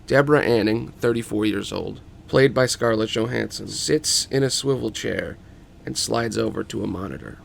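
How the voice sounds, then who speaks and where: A man reads screenplay scene directions as a dramatic narrator. His voice sits at a middle pitch with a noticeable creak, and music plays behind him. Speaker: podcast host and narrator.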